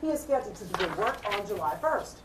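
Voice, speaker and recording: A woman's voice: medium pitch, very animated, fast talker. A woman anchoring a TV newscast, reading the news in a broadcast style. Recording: control room chatter behind the voice. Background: director's cues and crew talk.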